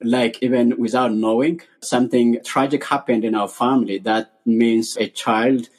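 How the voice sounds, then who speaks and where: A man's voice: mid-range voice, steady tone, moderate pace, slightly rough. A middle-aged man from Ethiopia, a podcast guest earnestly telling his life story in short, repeated phrases.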